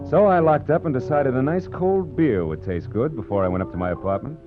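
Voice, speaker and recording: An American actor playing a wry, cool, hardboiled private detective in 1940s radio noir style. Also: male voice, medium pitch, expressive, a little fast, music in the background, lightly acted. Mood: world-weary, brooding. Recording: muffled vintage radio broadcast.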